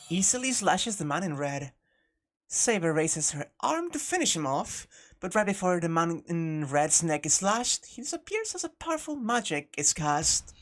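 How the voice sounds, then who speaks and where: A woman hosting a playthrough, reading a visual novel aloud with informal, sweary, jokey asides. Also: on the low side. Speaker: host of a game playthrough. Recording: music playing behind the voice. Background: game music.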